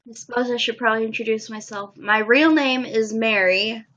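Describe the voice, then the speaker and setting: A woman hosting a casual livestream chat, who speaks softly and hesitantly, with frequent pauses. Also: fairly high pitch. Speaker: livestream host. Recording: dull lo-fi audio.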